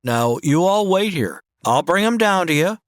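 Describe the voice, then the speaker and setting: Brief: calm cowboy hero, voiced by a man, slightly high, drawling, dry studio sound. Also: dry recording. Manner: drawling, measured, patient cowboy talk; whispered narration. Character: easygoing, soft-spoken Old West drifter, a man of justice. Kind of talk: parody of old western radio drama.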